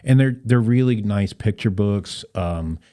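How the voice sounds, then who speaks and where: A man speaking as a guest in a conversational interview, explaining things in an earnest, measured way. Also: lowish voice, dark tone. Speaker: podcast guest, Rotary club volunteer.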